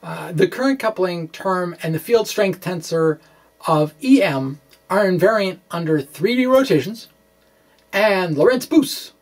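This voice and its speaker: A middle-aged man presenting a blog aloud with rambling asides, speaking in a halting, hesitant way and often correcting himself. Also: sits high, expressive. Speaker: physics blogger and vlogger. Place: small indoor room with bookshelves.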